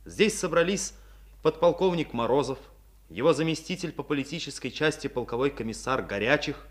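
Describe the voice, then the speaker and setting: A man's voice: on the high side, expressive. A man reading scripted narration for a 1950s Soviet radio drama in a solemn, declamatory manner. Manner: solemn, declamatory, measured diction.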